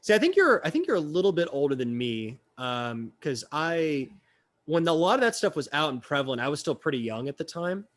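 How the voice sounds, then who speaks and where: A man's voice: a little high, very animated, fairly quick, a bit bright. A man in his thirties, a bit sick, hosting a casual interview and talking loosely and frankly with plenty of swearing. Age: 30s. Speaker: interviewer, channel host. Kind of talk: casual chat.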